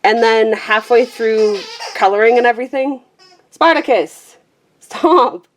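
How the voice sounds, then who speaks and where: A woman's voice: a little high, measured pace, a little hiss or hum, poor audio. A woman in a small room at home, chatting casually and rambling on camera, with a self-deprecating manner, trailing off with fillers and guessing at names as she goes. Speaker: vlogger showing her colouring book collection. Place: small room at home with a pet squeaking nearby.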